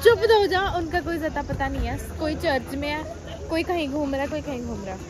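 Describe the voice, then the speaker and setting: Young female vlogger, high chatty voice, phone at arm's length, crowd and traffic noise behind. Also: lively intonation, brisk. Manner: chatty, addresses viewers as guys, teasing. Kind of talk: casual travel vlog. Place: outdoors on a busy hill-town street. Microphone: phone held at arm's length.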